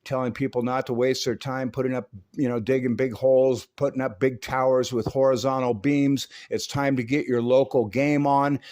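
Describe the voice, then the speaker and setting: A man's voice: medium pitch, darkish tone. Self-assured man with folksy, slangy talk. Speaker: amateur radio hobbyist presenting his own video. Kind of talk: casual video narration.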